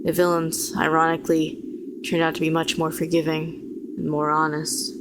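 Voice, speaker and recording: A woman playing a weary, bitter telepathic villain who was once a hero, speaking to the listener in a sardonic, deliberate way in an audio roleplay. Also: deep voice, monotone, background noise, close to an everyday voice. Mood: bitter, reflective. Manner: deliberate, sardonic, rhetorical questioning, condescending endearments.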